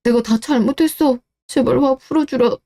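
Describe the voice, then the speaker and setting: Woman playing a pleading husband, soft dark tone. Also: high voice, moderate pace. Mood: pleading, apologetic. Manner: pleading, self-justifying. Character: pleading, defensive disgraced husband, evasive and desperate. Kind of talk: radio-drama story dialogue.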